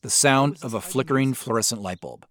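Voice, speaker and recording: A man's voice: mid-range voice, fairly quick, a bit bright, no room sound. An American man giving conversational, explanatory commentary as a show creator and sound designer. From Spokane Valley, Washington, United States. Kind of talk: director's commentary narration.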